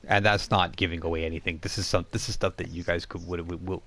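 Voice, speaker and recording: A man hosting a tabletop role-playing stream, chatting casually and explaining things in a halting way with false starts. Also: sits low, fairly even intonation, fairly bright tone. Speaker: game master and live stream host. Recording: faint background noise.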